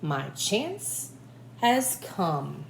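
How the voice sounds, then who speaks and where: A woman voicing a naive, eager male village helper from a storybook, with simple, drawn-out exclamations. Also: lowish voice, big pitch swings, vocal fry, reverberant, slight background noise, full character voice. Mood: agreeable, scheming.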